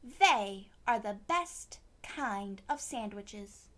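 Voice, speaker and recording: A woman's voice: medium pitch, lively intonation, drawn-out words, fairly bright tone. A woman voicing a sensitive but spirited young schoolgirl from a storybook, in a childlike manner. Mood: proud.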